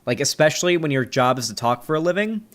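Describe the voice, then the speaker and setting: A man's voice: on the high side, lively intonation, fast, fairly bright tone. Rambling young man with faint Southern twang. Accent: slight Southern American. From Florida. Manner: rambling, filler-heavy, frank. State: slightly nasal from a sneeze. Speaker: streamer reviewing debates. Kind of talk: casual commentary chat.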